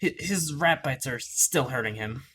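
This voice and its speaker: A man's voice: medium pitch, animated, unhurried, pushed and bright, a little hiss or hum. A man voicing an earnest, concerned young male apprentice cat, somewhat hesitant, in an acted fan audiobook. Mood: awkward, unsure.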